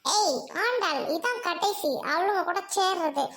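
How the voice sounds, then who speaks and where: A haughty elder sister played by a woman, the snobbish villain of a village drama. Her voice is very high, and she is curt, scornful and commanding. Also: lively intonation, unhurried, a bit bright, heavily acted. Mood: scornful anger. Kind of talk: village comedy-drama acting.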